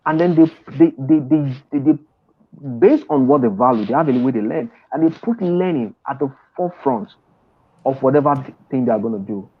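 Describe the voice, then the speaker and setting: A man's voice: mid-range voice, expressive, fairly quick, husky. Man, middle-aged, emphatic, insistent, repeats himself, muffled lo-fi call audio, headset. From Nigeria. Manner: emphatic, insistent, many restarts. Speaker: guest trader and mentor. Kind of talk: video call interview. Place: indoor room, seated in an office chair. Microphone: earphone headset on a video call. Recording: dull lo-fi video call audio.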